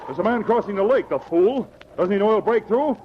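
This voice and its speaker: An actor playing a brave, commanding Mountie sergeant in a vintage radio adventure, speaking with firm, exclamatory delivery. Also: male voice, high-pitched, lively intonation, a little fast. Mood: urgent. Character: brave, commanding Mountie sergeant who drives a dog team. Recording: muffled, noisy old radio recording.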